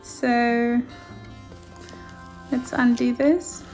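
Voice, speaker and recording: Woman crafter, Afrikaans first language, soft and fairly high voice, faint music underneath. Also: little pitch movement, poor audio. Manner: gentle, softly enthusiastic, unhurried. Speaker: junk journal maker. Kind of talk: casual flip-through narration. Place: indoors at a craft table.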